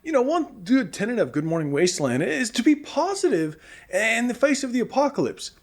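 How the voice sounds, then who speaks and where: Cheerful male radio presenter for apocalypse comedy show, man acting, high voice, very animated delivery, earnest and encouraging. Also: brisk, heavily acted. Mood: encouraging, patient.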